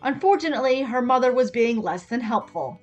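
High-voiced woman reading a story over guitar. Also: expressive, fairly quick, a little mellow, lo-fi sound. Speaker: story reader. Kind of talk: expressive scripted story narration. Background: music with guitar.

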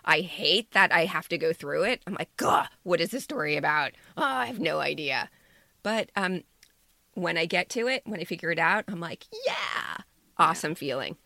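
Low, creaky woman in her fifties. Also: expressive, moderate pace, sharp tone, no echo. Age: 50s. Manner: emphatic, repeats words for stress. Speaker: podcast co-host and writer. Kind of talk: reflective casual talk.

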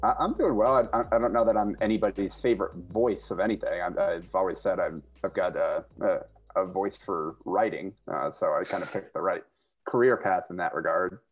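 A man's voice: deep voice, brisk, slightly rough, muffled recording, soft background music. A man who writes about basketball, guesting on a podcast and explaining stats analytically, often stammering at the start of sentences. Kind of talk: casual sports podcast chat.

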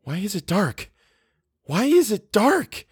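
A frightened woman in a horror story, acted by a male narrator, with a raised pitch and a dry, close sound. She is intense and emphatic, desperate yet defiant, struggling to stay rational. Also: lively intonation, slow, a little mellow, no room sound. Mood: frightened, bewildered. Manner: intense, emphatic inner monologue breaking into cries.

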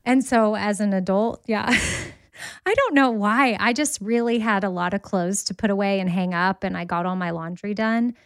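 A woman's voice: mid-range voice, normal speed, clear, resonant tone, no room sound, clean studio sound. A woman in her forties co-hosting a podcast, chatting casually with a friend, reflective and laughing often. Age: 40s. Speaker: podcast co-host.

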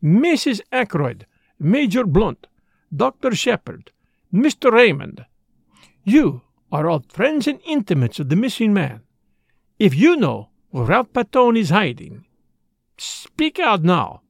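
A man's voice: sits high, huge pitch swings, creaky, dry recording, clean studio sound, pitched above the performer's own voice, heavily acted. A man voicing an elderly, courteous detective in a storybook, with a formal, pleading manner. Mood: stern appeal. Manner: formal, pleading, dramatic pauses. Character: elderly Belgian male detective, courteous, grave, insistent.

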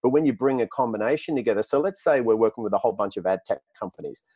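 A man's voice: medium pitch, rapid-fire, warm tone, breathy edge, no room sound. A man with an Australian accent, answering questions as a tech company executive, often restarting and repeating words. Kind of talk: podcast interview answers.

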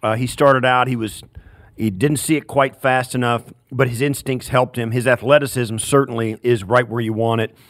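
A man's voice: mid-range voice, fairly even intonation, moderate pace, no room sound, studio quality. A man, a former player, giving casual, unscripted football analysis with frequent fillers.

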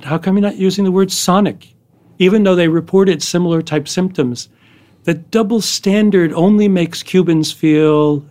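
A man's voice: on the high side, darkish tone. Emphatic middle-aged male policy analyst. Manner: emphatic, measured, repeats phrases. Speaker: foreign policy guest. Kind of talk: interview analysis.

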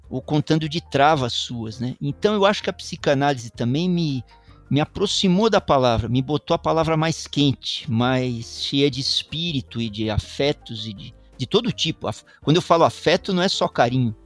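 A man's voice: fairly high pitch, expressive, moderate pace, faint music behind. A middle-aged man from São Paulo with a Paulistano Portuguese accent, talking in a thoughtful, meandering way as a guest musician, full of restarts and tag questions. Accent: Paulistano Brazilian Portuguese. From São Paulo, Brazil. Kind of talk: reflective interview talk.

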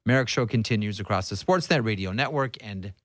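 A man's voice: lowish voice, a little fast, background noise. A man hosting a sports radio show in a chummy, conversational way. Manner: chummy, calls guests pal.

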